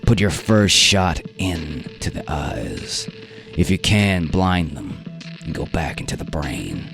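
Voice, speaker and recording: A man's voice: low-pitched, expressive, takes it slowly, gravelly, a bit bright, faint music behind, exaggerated voice. A man playing a stern, commanding safari leader in a radio drama, giving blunt warnings in emphatic short phrases. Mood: matter-of-fact warning. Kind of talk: radio drama character reading.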